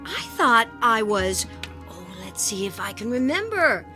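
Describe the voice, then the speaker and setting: A woman's voice: a little high, sing-song, unhurried, bright, forceful tone, soft background music, exaggerated voice. A woman playing an affectionate, headstrong alien country wife in a radio drama. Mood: relieved, tender.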